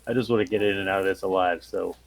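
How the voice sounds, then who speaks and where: A man's voice: deep voice, fairly even intonation, rapid-fire, vocal fry. A man playing a tabletop game, chatting casually with the other players. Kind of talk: casual chat.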